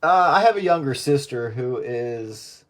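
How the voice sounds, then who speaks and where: A man's voice: medium pitch, lively intonation, measured pace. Middle-aged man chatting on noisy radio. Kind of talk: casual radio chat. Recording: radio link with clear noise and clicks.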